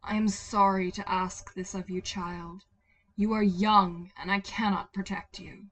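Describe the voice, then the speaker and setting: A woman's voice: medium pitch, fairly even intonation, a little slow, fairly bright tone. A woman voicing a solemn, grieving female spirit of a city, grave and formal, in a story reading. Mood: solemn, regretful.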